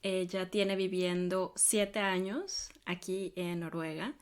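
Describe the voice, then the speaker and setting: A woman's voice: fairly low pitch, slow, smooth. A woman hosting a podcast interview. She asks her questions with small fillers and restarts. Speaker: podcast host. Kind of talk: scripted intro, then casual interview.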